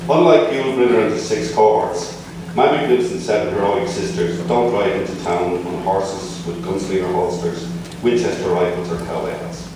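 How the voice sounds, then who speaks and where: Low-voiced Irish man reading in echoey hall. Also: steady tone. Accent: Irish, not from Limerick. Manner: dry, self-deprecating asides, then measured reading. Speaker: author reading his memoir. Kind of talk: reading a memoir piece aloud. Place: large echoey museum hall at a book launch. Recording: echoey hall, voice from far off, noisy.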